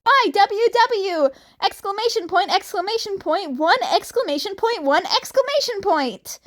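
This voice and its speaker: A woman voicing an excitable, playful young man, an eager and loud friend in a fan fiction story. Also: very high-pitched, moderate pace, pitched above the performer's own voice.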